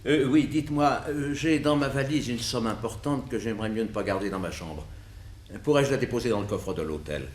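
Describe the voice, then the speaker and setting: An actor playing a polite, fretful provincial gentleman in a 1960s French radio mystery, with a hesitant conversational manner. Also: mid-range voice, fairly quick, lightly acted. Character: respectable, fretful provincial gentleman hotel guest carrying money. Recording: noisy old radio drama recording.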